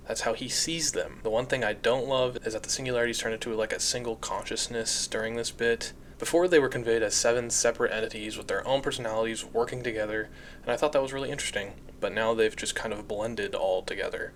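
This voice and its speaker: A man's voice: fairly low pitch, fairly bright tone, a little hiss or hum. A man giving casual scripted recap commentary as a video essayist, conversational and opinionated.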